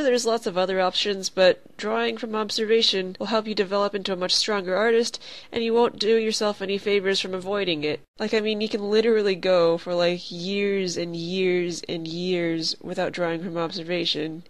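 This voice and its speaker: A woman's voice: medium pitch, dry recording. A woman with a stuffy, cold-stuffed voice, hosting a casual art tutorial, chatty and profane, with lots of "like". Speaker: art channel host.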